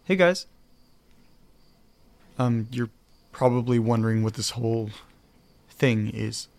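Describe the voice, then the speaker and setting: A man making a casual announcement for his show. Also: medium pitch. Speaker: audio drama show announcer.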